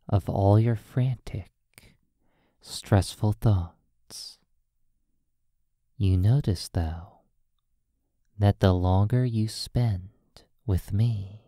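A low-pitched, mellow voice plays a hypnotist in an ASMR sleep session. The delivery is extremely slow and hushed, with soothing, drawn-out phrases and long pauses. The sound is close and dry. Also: no room sound. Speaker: hypnotist playing a sleep therapist.

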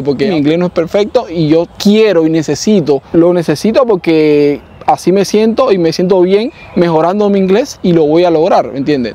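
A man with a Cuban accent, a software developer hosting a casual vlog, who chats loosely and keeps asking if you follow him. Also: fairly high pitch, lo-fi sound. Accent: Cuban Spanish. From Cuba. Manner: chatty, often adds "¿me entiendes?". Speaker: software developer and channel host.

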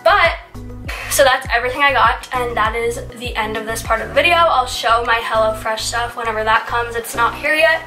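A woman's voice: on the high side, normal speed, recorded from a distance. A young woman in her twenties, sounding stuffy from a recent illness, chatting casually through a grocery haul with lots of "like" fillers. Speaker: lifestyle vlogger. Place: home room, indoors. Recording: roomy, off-mic, with music. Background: background music.

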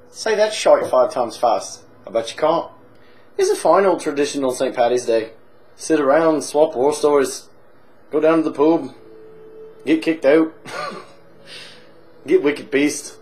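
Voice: darkish tone, natural voice. A performer playing a crude, cheerful Irish drinker with a put-on Irish accent, swaggering through a comic monologue. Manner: crude, swaggering, jumps between high squeals and low mutters. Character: rowdy boozy Irish man, crude and cheerful.

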